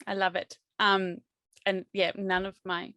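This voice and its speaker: A woman's voice: medium pitch, little pitch movement, unhurried, bright, forceful tone, dry recording, clean studio sound. A woman hosting an interview, affirming her guest with enthusiasm and frequent laughter. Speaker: interview host. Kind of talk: conversational interview.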